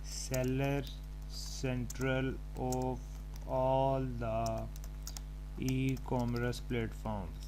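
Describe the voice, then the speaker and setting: A man presenting an unscripted step-by-step tutorial, haltingly thinking aloud as he types. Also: mid-range voice, little pitch movement. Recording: home recording with clear background noise.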